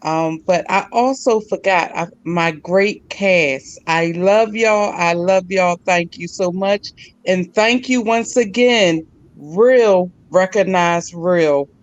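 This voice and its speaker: Female guest, lower voice, very slow, affectionate; dull lo-fi recording. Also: dry recording. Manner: unhurried, affectionate. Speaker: guest cast member. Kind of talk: casual thanks. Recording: dull lo-fi audio.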